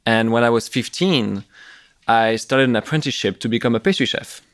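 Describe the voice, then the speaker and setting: A man from France with a southern French accent, pitching his startup to investors in an earnest, slightly halting way. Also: a bit bright, dry recording, studio quality. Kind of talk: business pitch and conversation.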